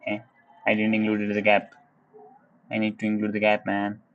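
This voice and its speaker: A young man with a Nepali accent talks through his coding live, in a fairly low, easygoing voice. He mutters to himself, chuckles, and fills pauses with hummed la-la tunes. He sits close to a desk mic. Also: completely flat delivery, fairly bright tone, muffled recording. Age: young adult. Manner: thinks aloud, hums la-la while working; laid-back, chuckles, switches into Nepali. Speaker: livestreamer learning web coding. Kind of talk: casual live coding commentary.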